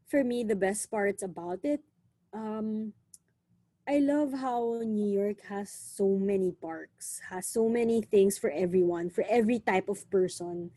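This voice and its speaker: A young woman from the Philippines with a Filipino accent, chatting casually and lively, often saying "you know" and slipping in Tagalog words. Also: mid-range voice, a little slow, dry recording. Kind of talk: casual conversation.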